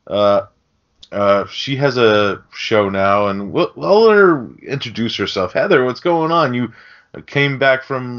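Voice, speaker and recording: A man's voice: on the low side, a bit bright. A man co-hosting a casual film podcast, rambling along in slangy talk with some casual swearing. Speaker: podcast host. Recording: dull, lo-fi podcast audio.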